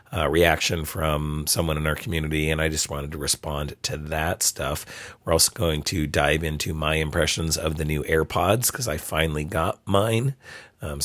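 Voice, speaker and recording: A man hosting a solo podcast, sounding hoarse from a cold, talking casually with frequent ums. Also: low voice, dry recording. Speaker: podcast host. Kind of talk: solo tech podcast talk.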